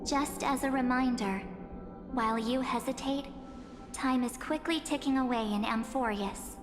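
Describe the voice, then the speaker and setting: A woman's voice: high voice, unhurried. An actress playing an uncanny memory spirit who poses as a perky girl in a video game, teasing, breezy and persuasive. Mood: sly, persuasive. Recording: long hall reverb, game music behind.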